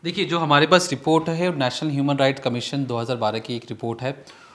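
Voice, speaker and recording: A man's voice: mid-range voice, steady tone, fairly quick. A man working as a crime news reporter, explaining a report step by step with rhetorical questions.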